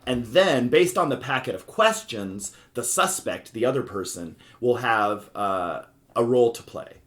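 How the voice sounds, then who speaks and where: A man hosting a casual board game podcast, chatty and quick to interject and prompt the others. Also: medium pitch, lively intonation, a bit bright. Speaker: podcast host.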